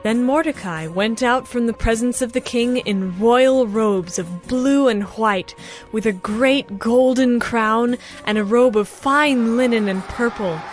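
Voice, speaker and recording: High-voiced woman narrating scripture. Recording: music behind the voice.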